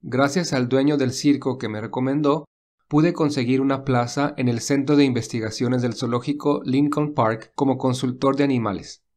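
A man voicing a gentle, protective young man who speaks calmly and reassuringly in a read-aloud story. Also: medium pitch, moderate pace, lightly acted. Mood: calm caring. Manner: calm, reassuring. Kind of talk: audiobook dialogue reading.

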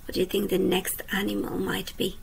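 A woman teacher reading a children's picture book aloud, asking the young listeners questions and dropping to a soft whisper at the ends of lines. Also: medium pitch, completely flat delivery, gravelly, a little hiss or hum, rough recording. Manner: slow, gentle, drops to a soft whisper at line ends. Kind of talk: children's storytime read-aloud. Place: indoors at a computer.

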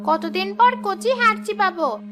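Children's cartoon villain: menacing female ghost, piercingly high voice, hungry and wicked, music behind. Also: lively intonation, a little slow. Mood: gleeful, scheming. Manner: gloating, menacing.

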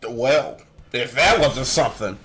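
Animated young man reacting, swearing freely. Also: medium pitch, unhurried. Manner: expressive, profane, exaggerated disbelief. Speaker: reaction video host. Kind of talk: casual reaction commentary with heavy slang. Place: small room at home.

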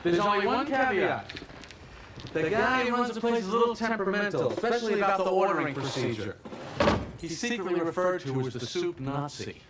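A man's voice: high voice, lively intonation, fairly quick. An actor in his thirties playing a wry sitcom man who earnestly and emphatically coaches his friends. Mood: firm instructing. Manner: emphatic, instructive. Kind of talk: sitcom dialogue. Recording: roomy, distant, very noisy TV audio.

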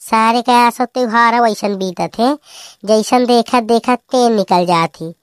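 A woman's voice: a little high, measured pace, clear, resonant tone, dry recording, studio quality, lightly acted. A woman voicing a bickering village spouse in a comedy cartoon, with a Bagheli accent and a scolding manner. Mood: musing.

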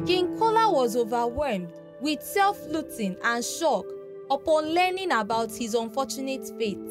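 A woman narrating a scripted folktale in a dramatic storytelling manner. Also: high voice. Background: background music.

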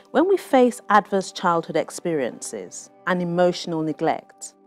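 A middle-aged woman, an author reading aloud from her own memoir in a measured, expressive way. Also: mid-range voice. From Nigeria. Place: small TV studio with bookshelves.

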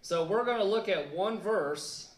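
A man in his thirties preaching a sermon in a church on a handheld mic, earnest and halting with fillers, leaning hard on key words. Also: high-pitched, lively intonation. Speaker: pastor. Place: church sanctuary, indoors. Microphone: handheld mic, filmed by a camera across the room. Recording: echoey church room.

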